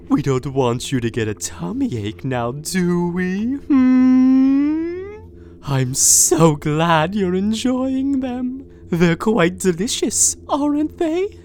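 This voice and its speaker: A woman playing a sweet-talking but unstable female captor in an audio drama, using syrupy baby talk that breaks into shrill screaming fits. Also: a little mellow, slight background noise. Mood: sugary, doting.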